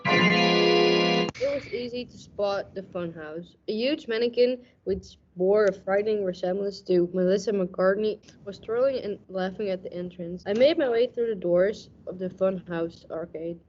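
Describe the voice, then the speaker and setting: A young woman playing a cynical, hardboiled police lieutenant with deadpan, tough-talking noir narration in a radio play parody. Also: mid-range voice, unhurried, slight background noise, natural voice. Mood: brooding, deadpan. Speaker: student radio play actor. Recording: dull, muffled lo-fi recording.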